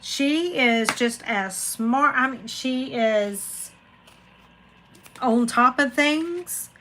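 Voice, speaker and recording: A woman's voice: high voice, animated, extremely slow, noisy background. A woman, hosting a casual live chat and rambling to viewers by name. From Florida, USA. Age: 40s. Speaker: craft channel host reading live chat.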